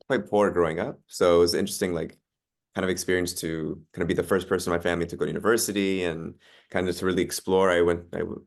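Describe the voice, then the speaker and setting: Very low, gravelly man. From Canada. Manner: relaxed, many ums and likes. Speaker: podcast guest. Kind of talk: casual interview chat.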